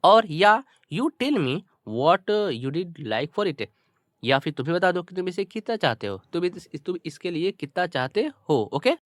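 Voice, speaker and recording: Male Indian tutor, Hindi native, lively swooping intonation, dry close sound, deliberate English reading with repeated explanations. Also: sits high, dry recording. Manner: repetitive, slow word-by-word reading of English, colloquial explanations. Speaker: English teacher explaining a story. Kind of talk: bilingual lesson, reading English phrases then explaining them in Hindi.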